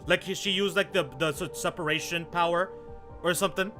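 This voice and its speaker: A man's voice: high voice, fairly even intonation, fairly quick, bright, forceful tone, faint music behind. A man hosting a live game stream with casual, slangy chat, often repeating himself as he greets viewers and comments.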